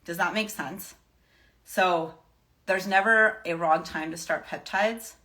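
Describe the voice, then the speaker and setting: Lower-pitched woman, casual wellness advice. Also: slow pace, sharp tone. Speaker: live stream host giving wellness advice. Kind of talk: casual off-the-cuff advice to followers.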